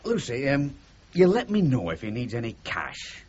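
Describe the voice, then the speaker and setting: A man's voice: medium pitch, huge pitch swings, moderate pace, a little mellow, slightly rough. An actor playing a blunt but kindly middle-aged working-class father with a Northern English accent in a 1970s radio drama. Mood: sheepish, kindly. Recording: dull old radio recording, faint noise.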